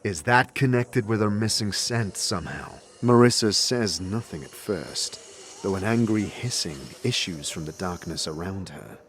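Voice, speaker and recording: A man narrating an audio drama in the second person, calm and measured. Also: sits low. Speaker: narrator and lead.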